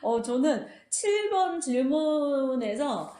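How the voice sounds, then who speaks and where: A woman's voice: sits high, sing-song, takes it slowly, dark tone. A woman hosting a book club lesson, explaining and commenting on questions in a conversational way. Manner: conversational, acts out imagined reactions in quotes. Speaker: host of a fairy tale book club channel. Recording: roomy, echoing indoor sound.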